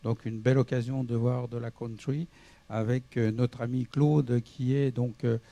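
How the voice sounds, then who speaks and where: A French man hosting a TV music show, interviewing a guest in halting English full of fillers. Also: mid-range voice, little pitch movement, gravelly, mellow. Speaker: TV show host. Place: TV studio.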